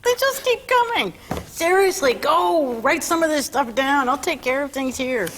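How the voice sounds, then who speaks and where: A wry, self-important woman store clerk from a sitcom. Her voice is on the high side, airy and sarcastic, with noise in the room behind it. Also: animated, normal speed, fairly bright tone. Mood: flattering, amused. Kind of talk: sitcom script read-through.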